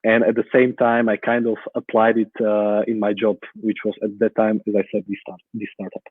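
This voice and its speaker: A man's voice: fairly low pitch, flat delivery, fairly quick, no room sound. Man, Slovak accent, young adult, hesitant thoughtful talker, dry, phone-like audio. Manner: thoughtful, many fillers and restarts. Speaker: guest security researcher. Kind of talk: casual interview chat. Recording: tinny phone-like call audio.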